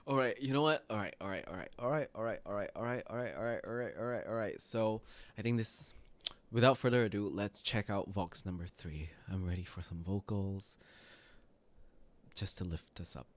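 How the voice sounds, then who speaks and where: A man giving casual, emotional reaction commentary as a host, speaking haltingly and often repeating himself. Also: on the low side, dry recording. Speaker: host of music reaction videos.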